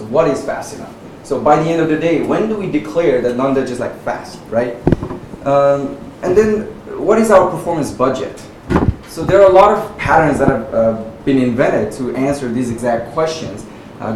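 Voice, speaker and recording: A man from Nepal in his thirties giving a conversational tech talk to a meetup audience, peppering his sentences with "uh" and "right?". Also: medium pitch. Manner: conversational, frequent "uh" and "right?" tags. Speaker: conference speaker. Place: meetup venue, audience present. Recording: echoey room from far off, noisy.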